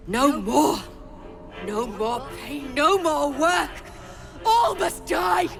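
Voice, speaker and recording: A woman voicing a long-suffering, vengeful beast of burden in a video game, speaking in simple, halting phrases. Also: high voice, vocal fry, heavily acted. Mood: defiant rage. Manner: halting, primitive short phrases. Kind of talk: video game creature acting. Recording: loud music under the voice.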